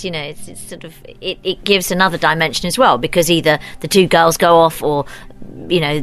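Low-voiced British actress in interview. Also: female voice, fairly bright tone. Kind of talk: behind-the-scenes interview.

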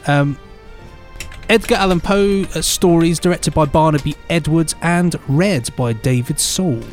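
A man's voice: fairly high pitch, unhurried, soft background music. A man co-hosting a podcast in a joking, rambling casual chat. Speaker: podcast co-host.

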